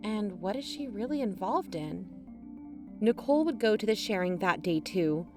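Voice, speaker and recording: A woman acting as a troubled young woman in an audio drama. She talks in a sincere, measured, naturalistic way at a medium pitch. Faint music plays behind her. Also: animated, close to an everyday voice. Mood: hurt, reflective.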